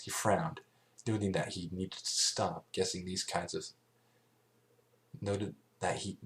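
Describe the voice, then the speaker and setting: A man reading scripted story narration as an amateur, in a halting way, stumbling and rereading phrases. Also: low voice. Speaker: amateur fan fiction reader.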